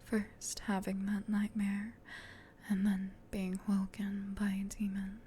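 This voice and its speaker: A woman voicing a gentle, protective female demon in a soft, soothing whisper for an ASMR comfort roleplay. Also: medium pitch, monotone, slight background noise, natural voice. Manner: soothing, tender, reassuring, unhurried.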